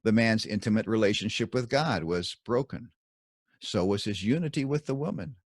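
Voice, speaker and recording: A man reading a scripted Bible commentary as its author, with deliberate, emphatic phrasing and dramatic pauses. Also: on the low side, lively intonation, no echo, studio quality.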